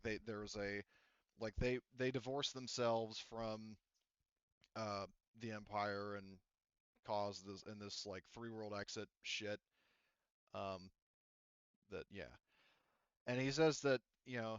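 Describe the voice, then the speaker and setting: Male GM, low smooth voice, casual and rambling, dull lo-fi mic. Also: dry recording. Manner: casual, lots of fillers and restarts. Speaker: game master. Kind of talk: narrating a tabletop game.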